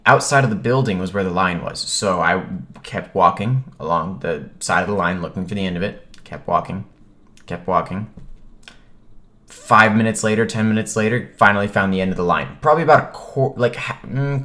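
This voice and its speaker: This is an American man with a deep voice, talking as a vlogger would. He recounts his own experience casually and conversationally. His phrasing is informal, often dropping into short, clipped sentences. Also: brisk, sharp tone. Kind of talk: casual first-person storytelling.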